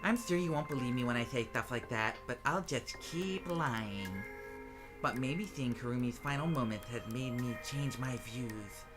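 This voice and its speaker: A woman's voice: very deep voice, moderate pace. A woman playing a mischievous, wheedling teenage boy who lies, in an anime game fandub. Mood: sly, feigned sincerity. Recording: music playing behind.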